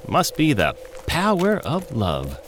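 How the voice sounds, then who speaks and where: A man's voice: fairly high pitch, huge pitch swings, takes it slowly, slight background noise, exaggerated voice. A man voicing a sarcastic, teasing talking coyote in a comedic audio drama, with slangy delivery. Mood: teasing, instructive. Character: wisecracking, smart-aleck male talking coyote.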